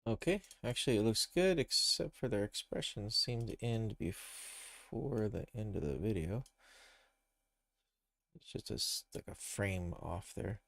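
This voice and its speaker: A man hosting a casual live software tutorial, thinking aloud as he explains each step informally. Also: on the low side, animated, unhurried, vocal fry, no echo. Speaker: livestream host, game developer tutor.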